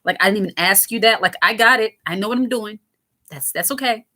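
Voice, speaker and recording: A woman in her thirties with a raspy, lower voice, hosting a casual commentary that answers viewer comments. She talks in a frank, lighthearted way, with lots of fillers and restarts. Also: fairly quick, bright, forceful tone. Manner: fillers and self-interruptions. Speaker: host.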